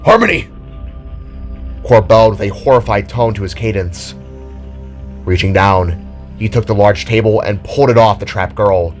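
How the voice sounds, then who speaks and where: Low-voiced man narrating a grim audio drama. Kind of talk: dramatic scripted story narration.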